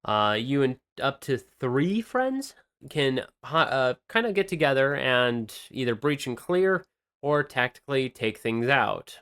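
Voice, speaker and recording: A man's voice: mid-range voice, big pitch swings, measured pace, a bit bright, clean tone. A man co-hosting a podcast, chatting casually about games and rambling with lots of fillers and restarts. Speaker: podcast co-host presenting game news.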